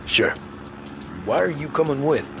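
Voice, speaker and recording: An actor playing a plainspoken, wary cabbie turned gangster in video game dialogue. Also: male voice, on the high side, lively intonation, unhurried. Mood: guarded. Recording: clear car engine noise.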